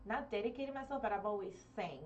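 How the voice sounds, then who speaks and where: A woman's voice: mid-range voice, fast, a little hiss or hum. A young woman from Argentina, a singer guest, talking earnestly and giggling now and then in a casual interview. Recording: distant dull lo-fi studio mic.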